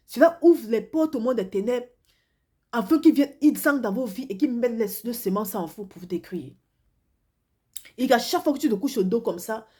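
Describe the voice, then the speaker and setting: A woman's voice: a little high, warm tone, husky. A woman preacher giving a devotional sermon and testimony while congested with a cold, earnest and repetitive, often restarting her phrases. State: has a cold and flu, congested. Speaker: preacher, self-described prophetess.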